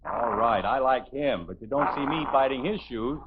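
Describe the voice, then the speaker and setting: A man's voice: mid-range voice, animated, normal speed, heavy background noise, natural voice. An American actor playing an exasperated, sarcastic suburban father in a 1950s radio comedy. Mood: grumbling, sarcastic. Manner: sarcastic, grumbling. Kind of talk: 1950s radio sitcom acting. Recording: muffled 1950s radio recording.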